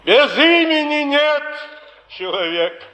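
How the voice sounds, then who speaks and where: A man's voice: very high-pitched, sing-song, slow pace, pushed and bright, long reverb tail, a little hiss or hum, full character voice. A male actor playing a broken-down, drunken provincial actor in a declamatory, wistful 1950s Soviet stage style. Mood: wistful, proud. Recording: old theatre stage recording.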